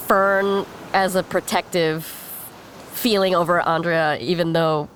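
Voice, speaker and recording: A woman's voice: fairly low pitch, fairly even intonation, unhurried, a little hiss or hum. A woman playing a tabletop game, describing her character's actions thoughtfully with some hesitation. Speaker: player.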